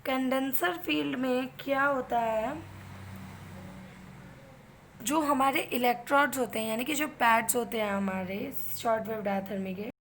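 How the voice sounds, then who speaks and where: A woman's voice: a little high, fairly bright tone, slight background noise. A woman with an Indian accent giving an explanatory lecture as an instructor, mixing two languages and often checking in with the listener. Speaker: physiotherapy instructor.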